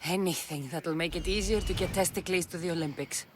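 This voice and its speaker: A woman's voice: lowish voice, a little fast, noisy background, lightly acted. A woman playing a sardonic warrior. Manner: dry, sardonic. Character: dry-witted female warrior mercenary. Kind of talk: video game cutscene acting.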